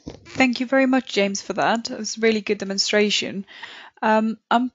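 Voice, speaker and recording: A woman's voice: on the high side, fairly even intonation, normal speed, no room sound, muffled recording. Woman hosting a webinar. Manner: polite, hesitant with fillers. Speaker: webinar host. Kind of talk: webinar wrap-up announcements.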